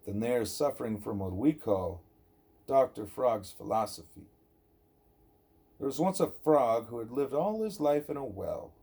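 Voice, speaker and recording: A man reading a scripted spiritual dialogue aloud as an audiobook narrator, deliberate and emphatic on rhetorical questions. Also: mid-range voice, animated, warm tone, slightly rough. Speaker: audiobook reader.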